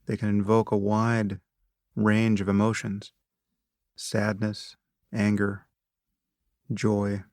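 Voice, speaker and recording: A man narrating a guided meditation in a measured, instructive manner, speaking in short phrases with long pauses between them. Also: fairly low pitch, monotone, darkish tone, no echo, clean studio sound.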